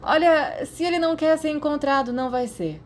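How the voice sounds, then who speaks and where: A woman's voice: high voice, a little fast, a little hiss or hum, pitched above the performer's own voice, lightly acted. A Brazilian woman voicing an irritable, dismissive man in audiobook dialogue. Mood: irritated.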